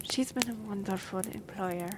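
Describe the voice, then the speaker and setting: A woman's voice: mid-range voice, moderate pace, natural voice. A woman playing a friendly, earnest young half-elf royal messenger in a fantasy audio roleplay, speaking a little hesitantly. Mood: earnest, explaining. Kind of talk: fantasy audio roleplay, one-sided conversation. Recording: noisy track with background ambience.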